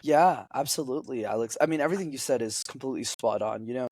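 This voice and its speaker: A young man with French as his first language talks through his points as a public speaking coach, lively and teacherly. His voice sits at an ordinary middle pitch. It is recorded close and dry, with no room echo. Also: fast talker, slightly rough. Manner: energetic, explanatory, teacherly. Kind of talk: podcast interview guest.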